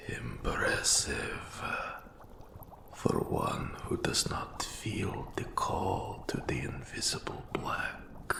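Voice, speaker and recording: A man voicing an old undead wraith mentor in a fantasy audio roleplay, eerie and courtly, with a gravelly, breathy half-whisper and slow, archaic, formal phrasing. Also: a bass voice, sing-song, exaggerated voice. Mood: grave, approving. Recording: roomy echo on the voice.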